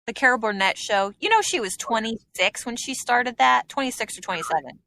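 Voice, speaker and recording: A woman's voice: fairly high pitch, a little fast, sharp tone, a little hiss or hum. A woman comedian chatting as a guest on a podcast, reminiscing with plenty of fillers. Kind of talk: casual podcast interview.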